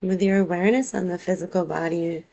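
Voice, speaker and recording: A woman teaching yoga nidra, guiding a relaxation meditation in a calm, unhurried, gently instructive way. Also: on the low side, creaky, muffled recording.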